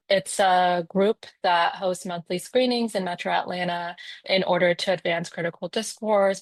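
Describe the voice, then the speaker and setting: Low, measured woman organizer. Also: no room sound. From East Africa. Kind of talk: prepared self-introduction. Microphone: video call.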